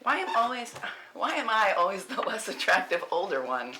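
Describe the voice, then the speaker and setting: A woman's voice: mid-range voice, big pitch swings, moderate pace, natural voice. Woman playing frazzled thirtysomething mom out for payback, wry, short film table read, pretty noisy recording w clicks. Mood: playful banter.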